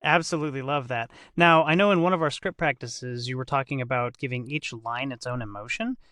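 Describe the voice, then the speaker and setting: A man with a medium-pitched, bright voice hosts a casual interview. He speaks effusively and haltingly, searching for the right word. The recording is very dry. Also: no echo. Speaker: podcast host.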